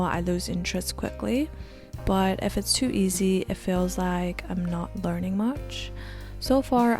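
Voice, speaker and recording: Soft-spoken woman in her twenties, gravelly. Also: mid-range voice. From Canada. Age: 20s. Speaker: language learning vlogger. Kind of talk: scripted voice-over narration.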